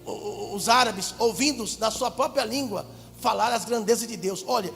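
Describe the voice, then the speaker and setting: A Brazilian Portuguese-speaking male pastor preaching fervently, swinging between shouted lines and quiet asides in a large church. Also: high-pitched, normal speed, gravelly. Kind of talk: preaching a sermon. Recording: hall reverb with faint music.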